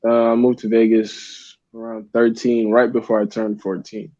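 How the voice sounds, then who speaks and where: Laid-back young man from Uganda, mellow and low. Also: little pitch movement, normal speed, dry recording. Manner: laid-back, rambling with many fillers. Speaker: guest artist. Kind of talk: casual interview chat. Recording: dull lo-fi dry sound.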